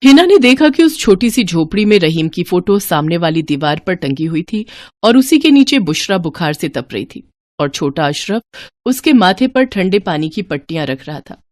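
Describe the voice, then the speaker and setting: A woman's voice: fairly low pitch, sing-song, normal speed, dry recording. A woman narrating a scripted moral story as a dramatic storyteller.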